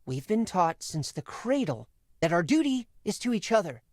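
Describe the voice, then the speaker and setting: An actor playing an earnest, idealistic young Spartan brother, loyal and expressive, in a video game drama. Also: male voice, high-pitched, moderate pace, no room sound, studio quality.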